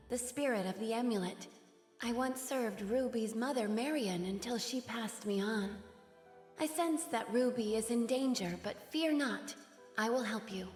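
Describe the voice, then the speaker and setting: A serene female spirit of a magic amulet, played by a woman in a fantasy game. Her formal, reassuring voice is on the high side and rings out in long reverb, with music behind it. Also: natural voice.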